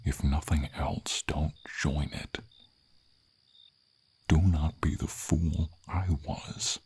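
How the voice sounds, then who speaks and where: An ancient, gentle dragon, played by a man, speaks in a very deep, husky voice with a gravelly edge. The delivery is slow, solemn and tender, often sinking toward a whisper, like a wistful guardian addressing a knight in a fantasy ASMR roleplay. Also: fairly even intonation, exaggerated voice. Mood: grave pleading. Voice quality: deep, breathy rasp, often dropping to a whisper. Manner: solemn, tender, formal storybook diction. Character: old friendly silver dragon, wistful protector of a knight.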